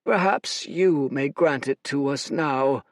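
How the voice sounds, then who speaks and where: Guy doing a tired two-headed monster god, soft and resigned, higher voice, slow. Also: no room sound. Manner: gentle, weary, resigned.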